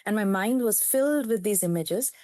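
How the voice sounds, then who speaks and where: A woman's voice: mid-range voice, expressive, moderate pace, dark tone, dry recording, studio quality. A woman in her fifties from India, a native Telugu speaker with an Indian English accent, telling her story as a psychiatrist guest in an interview in an earnest, reflective way.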